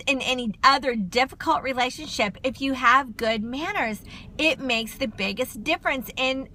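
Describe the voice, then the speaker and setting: A middle-aged woman talks loud and fast into her phone on a livestream, chatty and direct with her viewers. Her voice sits high and comes across bright and forceful. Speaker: counselor and livestream host. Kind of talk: casual livestream talk to viewers.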